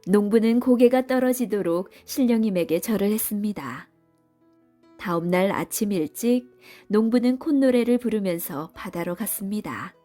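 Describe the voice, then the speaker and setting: A woman's voice: medium pitch, moderate pace, warm tone, faint music behind. A woman storyteller giving scripted storybook narration for children.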